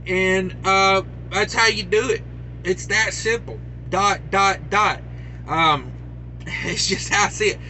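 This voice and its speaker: A man's voice: high-pitched, takes it slowly, bright, forceful tone, slight background noise, low-quality recording. A man hosting a trucking news show, reading stories and rambling into joking asides. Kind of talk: casual news commentary.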